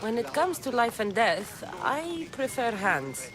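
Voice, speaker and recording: Casting: young Greek mercenary heroine, higher-pitched, lively intonation, blunt and skeptical; game dialogue. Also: female voice, a little slow. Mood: wry, skeptical. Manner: blunt, dry, impatient. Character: blunt, determined Greek warrior woman, impatient and wry. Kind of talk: video game character dialogue. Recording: music under the dialogue.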